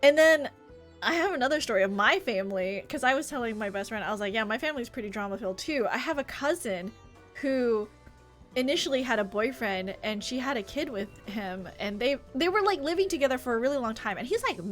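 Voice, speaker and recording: An American woman streaming a game, chatting casually with an animated, gossipy manner. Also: a little high, fairly quick. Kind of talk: live stream chat while reading game dialogue aloud. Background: music.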